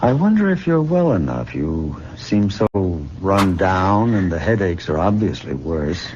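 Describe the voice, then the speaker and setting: An actor playing a coaxing, controlling professor and hypnotist in a radio drama, soothing and deliberate. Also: male voice, low voice, sing-song, a little mellow. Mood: insinuating. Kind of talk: old-time radio drama acting. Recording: muffled, faintly noisy old radio recording.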